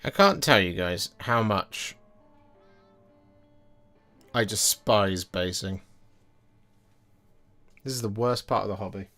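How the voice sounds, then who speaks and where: A man's voice: lowish voice, expressive, takes it slowly, long pauses, a bit bright, faint music behind. A man in his thirties chatting casually and candidly, rambling and correcting himself as he goes. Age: 30s. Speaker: hobby streamer. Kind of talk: casual chat while painting miniatures. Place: home painting desk.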